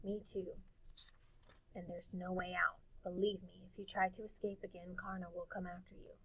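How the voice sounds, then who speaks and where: A woman's voice: on the low side, little pitch movement, normal speed, a bit bright, slightly rough, low-quality recording. A woman voicing an anxious, kindly older housekeeper in a storybook, giving grave, pleading warnings. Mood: fearful, hushed.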